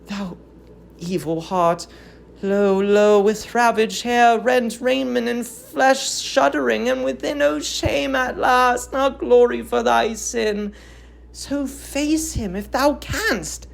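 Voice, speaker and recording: An aged queen of Greek tragedy, as a woman acts her out, grieving and accusing. Her speech is slow and declamatory, with some creak, and she pleads with impassioned bitterness. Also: fairly high pitch, heavily acted. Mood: vengeful appeal.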